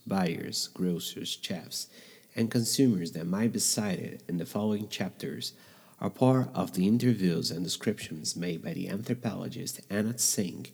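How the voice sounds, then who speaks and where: A low-voiced man with a husky edge reads his podcast script in a reflective, measured way. He speaks English as a second language, his first being Portuguese. The recording carries a long, trailing reverb. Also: a little mellow. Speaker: podcast host. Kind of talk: scripted narration. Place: bedroom in a noisy neighborhood.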